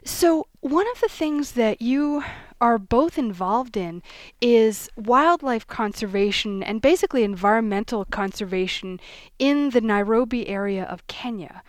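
A woman hosting a radio interview, with a measured, announcer-like delivery. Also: a little high, lively intonation, no echo. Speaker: radio host.

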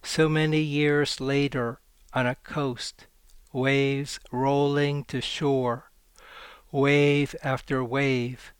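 A man, a poet, reading his poems aloud in a slow, measured way and talking about his work. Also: medium pitch, clear, resonant tone, no echo. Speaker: poet guest. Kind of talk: poetry reading.

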